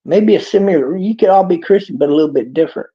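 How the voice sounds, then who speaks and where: A man's voice: high-pitched, lively intonation, fast. A man with a Texas accent giving a rambling, preachy monologue in a folksy drawl. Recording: dull lo-fi clip.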